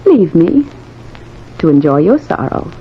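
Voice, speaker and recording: A woman's voice: fairly low pitch, big pitch swings, unhurried. A haughty, vengeful phantom bride speaks with theatrical, taunting command. Her voice hangs in long reverb on a muffled, noisy old radio recording. She is possessive and imperious. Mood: cruel taunting. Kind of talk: old-time radio horror drama acting.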